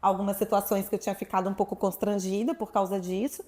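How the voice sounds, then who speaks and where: A talkative woman from Salvador, a guest sharing her story in a relaxed interview. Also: medium pitch, fast. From Salvador, Brazil. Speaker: guest, sexuality professional. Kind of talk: casual interview chat.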